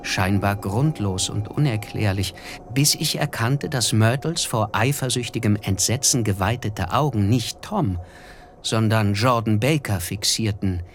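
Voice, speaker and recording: An actor playing a reflective, observant narrator in a radio drama, telling his memories in measured, literary phrases. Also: fairly low pitch, slight background noise, lightly acted.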